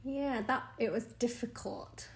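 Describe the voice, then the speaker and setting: A woman, talking slowly and thoughtfully in an interview. Her voice has a gravelly, creaky edge, and she often pauses, hesitates and laughs softly. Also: a little high. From United Kingdom. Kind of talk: conversational interview. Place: Hong Kong.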